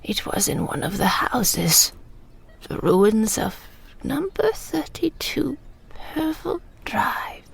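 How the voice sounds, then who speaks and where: Actress as old british mum reminiscing in an audio drama, slow and whispery, creaky, wistful. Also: female voice, fairly high pitch, very animated, full character voice. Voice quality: breathy, whispery. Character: frail elderly British mother recalling her wartime childhood, wistful.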